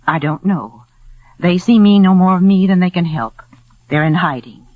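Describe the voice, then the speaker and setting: A woman's voice: on the low side, moderate pace, no echo, natural voice. Lady doing nervous secretive housekeeper, 1940s radio drama, hesitant, dull vintage sound. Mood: uneasy. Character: uneasy, secretive female housekeeper of a cottage. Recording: muffled vintage radio recording.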